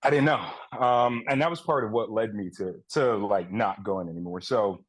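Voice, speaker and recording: A man's voice: on the low side, moderate pace, rough recording. A man in his thirties from Guyana, a guest on a podcast, talking in a candid, profane, self-mocking way. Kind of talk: casual podcast chat.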